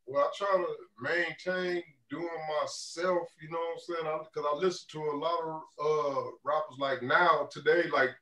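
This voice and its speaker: Laid-back man with a deep voice on a video call. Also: steady tone, moderate pace, few pauses, pushed and bright. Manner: laid-back, loose, lots of "you know what I'm saying". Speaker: rapper guest. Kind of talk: casual interview answers. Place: indoor room on a video call. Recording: roomy video call audio, a bit distant.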